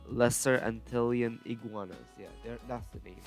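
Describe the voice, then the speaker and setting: A man's voice: mid-range voice, fairly even intonation, normal speed. A man with a stuffy nose from a cold, chatting casually and correcting himself as he talks through a game build for his viewers. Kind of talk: casual gameplay commentary. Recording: faint music behind voice.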